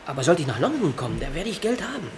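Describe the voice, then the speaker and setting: A desperate young runaway soldier. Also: male voice, on the high side, big pitch swings, racing. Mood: urgent. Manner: urgent, pleading. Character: young male Scottish soldier on the run. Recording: noisy old radio recording.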